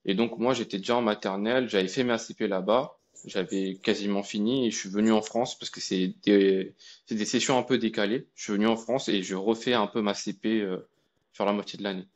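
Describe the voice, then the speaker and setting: A young man from India, a guest telling his story in a relaxed, colloquial way with many fillers and restarts. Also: lowish voice, brisk, lo-fi sound. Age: young adult. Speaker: guest, AI researcher. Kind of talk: casual conversation.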